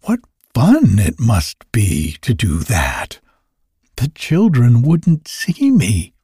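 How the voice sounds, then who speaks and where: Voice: medium pitch, dark tone, slightly rough, no room sound, studio quality, pitched above the performer's own voice, exaggerated voice. A narrator voicing a kindly, curious little girl in a storybook, earnest and full of wonder.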